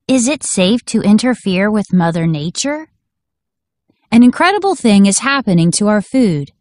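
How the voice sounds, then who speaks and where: Female narrator for schoolbook read-aloud, mid-pitched, instructional, dry sound. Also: a little mellow, no room sound. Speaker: audiobook narrator. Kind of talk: scripted educational read-aloud.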